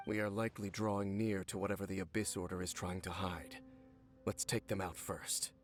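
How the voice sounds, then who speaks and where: A man voicing a solemn, world-weary knight in a video game, speaking in a measured, brooding way. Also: low voice, level tone, over music, natural voice. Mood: grave, pensive. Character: grave, world-weary male knight, former royal guard commander. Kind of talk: video game character acting.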